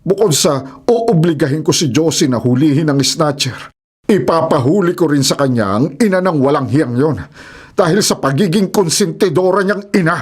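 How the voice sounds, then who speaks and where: A man's voice: fairly high pitch, lively intonation, moderate pace, breathy edge. A man playing a stern, vengeful older father in a Filipino radio drama, firm and moralizing. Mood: indignant.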